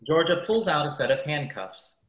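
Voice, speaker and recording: A radio play narrator reading out stage directions, performed by a young man. The delivery is scripted but lively in pitch, recorded in a very echoey room. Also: moderate pace. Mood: narrating. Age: young adult.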